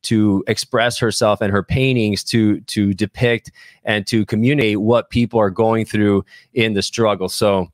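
Male podcast host, 40s, low register, conversational, close dry studio sound. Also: fairly even intonation, unhurried, no echo. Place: small podcast studio at a university. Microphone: close studio microphone on boom arm.

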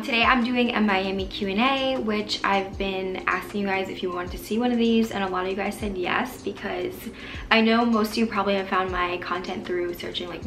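A woman's voice: mid-range voice, a bit bright, roomy sound. A woman in her twenties chatting casually to camera as a vlogger, answering viewer questions with many likes and ums. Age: 20s. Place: small bedroom indoors.

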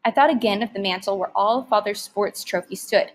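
A woman's voice: medium pitch, brisk. A woman reading a story aloud expressively, like a teacher narrating to students.

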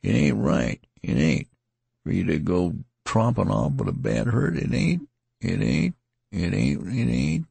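A man's voice: medium pitch, sing-song, slow, vocal fry, a little mellow, breathy edge, dry recording, pitched above the performer's own voice, exaggerated voice. A man doing a rambling wounded rustic soldier. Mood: slurred, pleading. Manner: rambling folksy dialect, slurring as he weakens. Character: wounded ragged male soldier, garrulous and rustic.

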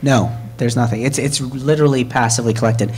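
A man's voice: mid-range voice, steady tone, a little fast, darkish tone. A man chairing a committee at a hybrid meeting, talking conversationally with fillers and restarts.

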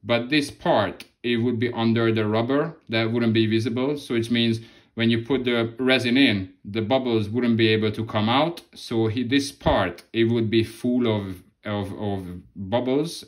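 Relaxed man explaining a craft. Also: mid-range voice, moderate pace. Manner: relaxed, loose phrasing with many restarts. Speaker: model-making tutorial host. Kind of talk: casual hands-on tutorial. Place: indoor hobby workspace.

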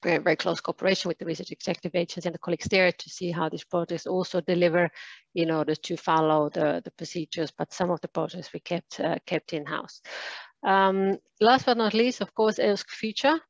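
Woman, low-ish voice, conference keynote, halting with fillers, dull dry video-call sound. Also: steady tone, gravelly, no echo. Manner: halting, many fillers and restarts. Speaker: keynote speaker. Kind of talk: conference presentation.

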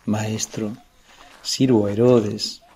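An actor playing a deferential, grateful husband who serves at a royal court, respectful and earnest, in a religious radio drama. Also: male voice, fairly low pitch, flat delivery, slow pace, darkish tone, slight background noise. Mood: troubled, humble.

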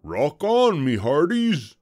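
Blustering storybook pirate captain, swooping loudly. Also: male voice, on the high side, very animated, slow pace, voice pushed up, exaggerated voice. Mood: hearty, commanding. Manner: hollering, theatrical pirate talk. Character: loud, gruff, blustering male pirate captain. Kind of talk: storybook character voice.

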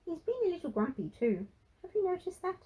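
A woman voicing a gentle elderly grandmother in a storybook reading, soft, high and tender. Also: sing-song, brisk, rough recording. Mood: wistful.

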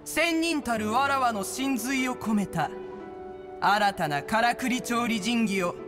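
Stately, slow-speaking ancient bird immortal. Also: female voice, fairly high pitch, music in the background. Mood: proud, grand. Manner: archaic, imperious, pompous speech. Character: proud, ancient female bird immortal, grandly self-assured. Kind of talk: Japanese video game voice acting.

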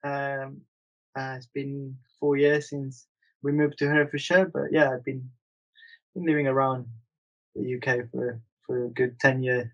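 Hesitant artist from Argentina. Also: male voice, mid-range voice, little pitch movement, measured pace, highs cut off. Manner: hesitant, many fillers and restarts. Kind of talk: casual interview talk.

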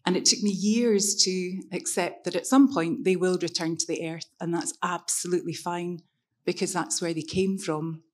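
A middle-aged Scottish woman speaks on a conference stage into a handheld mic. Her voice sits fairly low, and her delivery is soft and reflective, with frequent ums. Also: steady tone, normal speed. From Scotland. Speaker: panelist. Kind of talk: reflective personal talk on stage.

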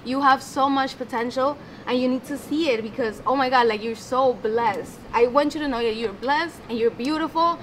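A woman's voice: high voice, moderate pace, few pauses, poor audio. A young woman with a Colombian Spanish accent giving a heartfelt toast at an outdoor poolside party, searching for her words in English, Spanish being her first language. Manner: searching for words, animated hand gestures. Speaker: friend giving a speech. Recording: party crowd noise behind. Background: chatter and laughter of guests.

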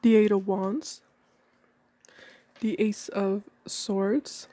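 A woman with a cold giving a calm tarot reading at a table indoors, slowing down as she names each card. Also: mid-range voice, no echo. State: has a cold. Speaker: tarot reader.

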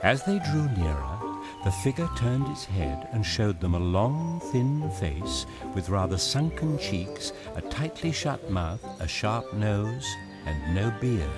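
An actor playing a grave, measured storyteller, narrating an audio drama. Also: male voice, on the low side, big pitch swings. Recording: loud music behind narration.

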